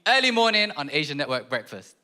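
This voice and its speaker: A man's voice: a little high, sing-song, quick pace, pushed and bright. A man hosting a live comedy show on stage, hyped and upbeat with slang. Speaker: radio presenter, show host. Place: theatre stage with live audience.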